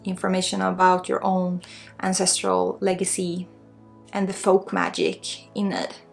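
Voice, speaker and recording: A woman with a Swedish accent giving unscripted spiritual guidance in an ASMR healing session, earnest and encouraging, with halting phrasing. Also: lowish voice, little pitch movement, creaky, faint music behind. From Sweden. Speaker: spiritual guide and energy healer.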